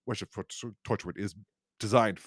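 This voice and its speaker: A man hosting a podcast in a casual review chat, rambling and halting with repeated false starts. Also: on the low side, breathy edge, dry recording, clean studio sound. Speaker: podcast host.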